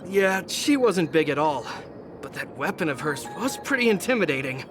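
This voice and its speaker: A man's voice: a little high, very animated, normal speed. An actor voicing a nervous, easily scared townsman who gossips in a game cutscene. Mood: gossipy. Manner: chatty, gossiping. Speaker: game character. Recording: game audio over loud music.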